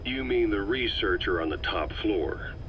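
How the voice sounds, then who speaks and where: A man's voice: lowish voice, lively intonation, a little slow. An actor playing a male commander who asks a scientist probing questions, on a game audio tape. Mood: questioning. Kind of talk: game audio log acting. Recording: dull noisy cassette tape.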